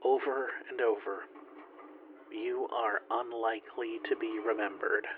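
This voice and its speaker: A man playing a bitter, haunted lone flight engineer recording halting, sardonic log entries in a sci-fi horror audio drama. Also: mid-range voice, fairly even intonation, close to an everyday voice. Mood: shaken, weary. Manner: halting, confessional, sardonic, profane. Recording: dull lo-fi, faint noisy radio-like hiss.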